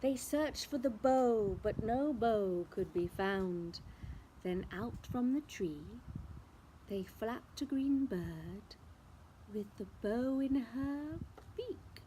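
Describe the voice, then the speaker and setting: A woman storyteller reading a picture book aloud in an expressive, theatrical way, drawing out words. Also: a little high, a little hiss or hum, rough recording. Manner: theatrical, with drawn-out words and sound effects. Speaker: children's storyteller.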